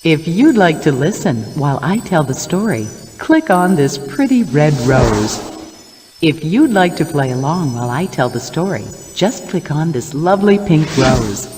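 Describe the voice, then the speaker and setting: A woman's voice: low voice, big pitch swings, a little slow, over music. Lilting, kindly fairy godmother. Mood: kindly, inviting. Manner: gentle, storytelling. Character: kindly female fairy godmother telling fairy tales. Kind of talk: scripted children's storybook narration.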